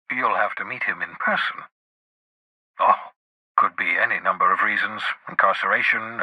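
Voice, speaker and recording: An actor voicing an eccentric, erudite older renegade scientist in a video game, jittery and sardonic, with theatrical, wordy, precise delivery. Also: male voice, medium pitch, pushed and bright, breathy edge, dry recording, full character voice, rough recording. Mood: brisk, conspiratorial. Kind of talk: video game character dialogue.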